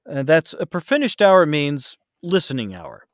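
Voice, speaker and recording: A man's voice: on the high side, big pitch swings, moderate pace, no echo. Man explaining things casually, close dry sound. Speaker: podcast guest, voice actor and audiobook narrator. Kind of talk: casual interview conversation.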